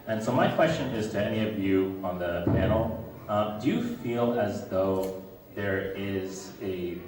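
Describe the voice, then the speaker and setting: A man asking a panel a question in a halting way, searching for his words. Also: lowish voice, expressive. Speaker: audience member asking a question. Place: large room at a public panel event. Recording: echoey hall, distant mic, loud noise.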